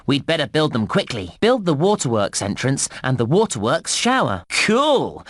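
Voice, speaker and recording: A man playing an upbeat, encouraging theme park guide, narrating a children's video game in an animated, instructive way. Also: a little high, no echo, studio quality. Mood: excited. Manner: animated, exclamatory, instructive children's-entertainer delivery.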